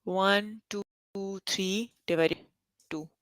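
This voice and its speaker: A woman's voice: medium pitch, steady tone, takes it slowly, fairly bright tone, clear, resonant tone, dry recording. A young adult woman teaching a live online math lesson over a computer mic, stopping often to check that students follow. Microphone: computer mic on an online call.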